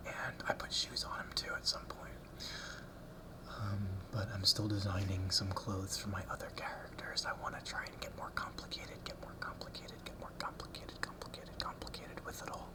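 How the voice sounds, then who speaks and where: A man whispering a rambling ASMR talk close to the mic, softly repeating words as triggers, with ums and small nervous laughs. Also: sits low, completely flat delivery, normal speed. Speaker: ASMR creator. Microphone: close ASMR mic, brushed.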